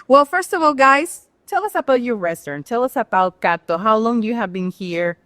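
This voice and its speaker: Bilingual woman host, pitched a bit high, effusive, dry sound. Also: normal speed, no echo. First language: Spanish. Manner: effusive, animated. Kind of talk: enthusiastic bilingual interview. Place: restaurant.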